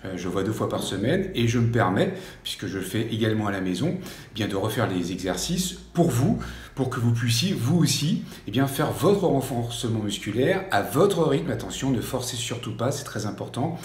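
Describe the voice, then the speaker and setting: A man in his fifties leads a home strength workout in a soft, mellow voice, gently reassuring listeners and pausing with hesitations. He sounds distant from the microphone in a strongly echoing room, with faint music underneath. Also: mid-range voice, sing-song. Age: 50s. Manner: gentle, reassuring, repeats advice not to strain, frequent hesitations. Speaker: life coach leading a strength workout. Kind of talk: exercise instruction video. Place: room at home with an exercise mat. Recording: very echoey room, voice far from mic.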